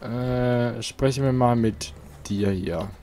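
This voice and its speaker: A man's voice: medium pitch, slow, warm tone, slight background noise. A man hosting a let's play, chatting casually and thinking aloud while he plays, seated at home. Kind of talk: casual gameplay commentary.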